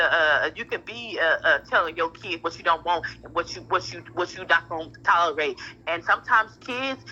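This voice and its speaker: Emphatic, bright-voiced husky woman on the phone. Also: on the low side. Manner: emphatic, repetitive, blunt with profanity. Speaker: parent in a phone discussion. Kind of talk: heated casual phone conversation. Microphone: phone call. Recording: muffled telephone-like audio.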